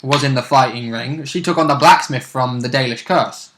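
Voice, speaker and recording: A man's voice: mid-range voice, normal speed, fairly bright tone, a little hiss or hum. A man co-hosting a tabletop role-play show, explaining in casual, rambling chat and stumbling over his words.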